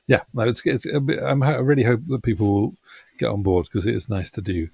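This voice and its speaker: A man's voice: mid-range voice, steady tone, fast, gravelly, a little mellow, breathy edge, no room sound. A man speaking casually as an interview guest, hesitant and self-effacing in how he talks.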